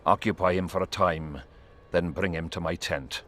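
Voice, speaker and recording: A man's voice: low voice, steady tone, normal speed, fairly bright tone. An actor playing a brusque, imperious king in a fantasy video game, giving curt commands. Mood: commanding.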